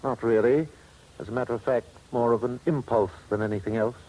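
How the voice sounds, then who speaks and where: An actor playing a suave, pompous wealthy collector with precise, effusive speech in a 1950s radio drama. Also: male voice, medium pitch, fairly even intonation, brisk, dark tone, lo-fi sound, natural voice. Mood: haughty. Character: haughty, suave wealthy diamond collector and connoisseur.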